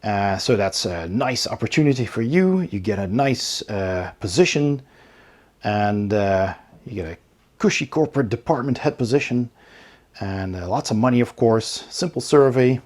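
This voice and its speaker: A man's voice: lowish voice, expressive, moderate pace, darkish tone. A man hosting a casual unboxing video, thinking aloud and hesitating as he describes each item. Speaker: host of a board game unboxing channel.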